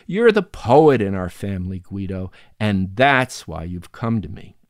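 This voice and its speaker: A man's voice: sits low, very animated, measured pace, no room sound, clean studio sound, heavily acted. A man reading an audiobook, voicing a wild, ironic woman who teases and speaks bluntly. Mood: teasing. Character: wild, ironic grown woman, sister-like cousin.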